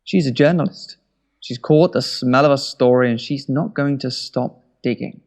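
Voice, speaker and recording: A man playing a controlled, ruthless government minister in an audio drama, with a measured, coaxing way of talking. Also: mid-range voice, a little mellow, natural voice. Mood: cold resolve. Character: calm, ruthless male government minister hiding a conspiracy.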